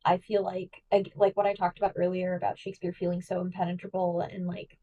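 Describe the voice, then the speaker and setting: A woman's voice: fairly low pitch, little pitch movement, phone-quality sound. Woman, podcast guest host, eager and chatty, distant mic. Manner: enthusiastic, chatty, quick asides. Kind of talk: casual podcast chat.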